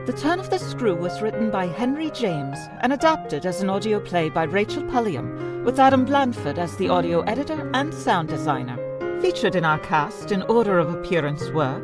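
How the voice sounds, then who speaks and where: A woman's voice: medium pitch, very animated, normal speed, over loud music, natural voice. A woman playing a formal, precise announcer in a scripted audio drama. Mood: formal announcing. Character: stubborn, opinionated woman interrupting a production to correct it.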